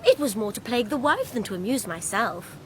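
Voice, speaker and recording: Coquettish young society lady, animated pitch. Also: fairly quick. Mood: teasing, confiding. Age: young adult. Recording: faint background noise, 1990s radio play.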